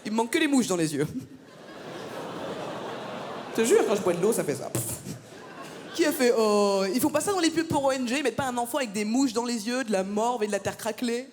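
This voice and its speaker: High voiced woman doing stand-up, teasing the crowd, big hall echo. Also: lively intonation, a little fast, pauses often, a little mellow, a little hiss or hum. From France. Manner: punchy, teasing crowd banter. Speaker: stand-up comedian. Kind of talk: stand-up comedy set. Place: large theatre stage. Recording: live gala, long hall reverb.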